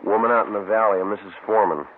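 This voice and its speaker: An actor playing a stoic, matter-of-fact police sergeant in a clipped, deadpan radio detective drama. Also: male voice, fairly low pitch, rapid-fire, a little hiss or hum, close to an everyday voice. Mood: businesslike. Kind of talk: 1950s radio detective narration and dialogue. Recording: narrow vintage radio recording, music behind.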